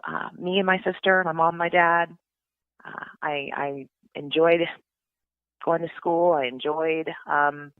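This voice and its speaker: A woman's voice: sits low, steady tone, takes it slowly, no echo. A middle-aged woman from California, chatting as an interview guest, with frequent ums and restarts. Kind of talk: casual interview talk. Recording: tinny phone-line sound, dry.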